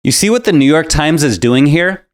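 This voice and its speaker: A man's voice: a little high, animated, normal speed. A man co-hosting a political show, delivering scripted commentary in an emphatic, polemical manner.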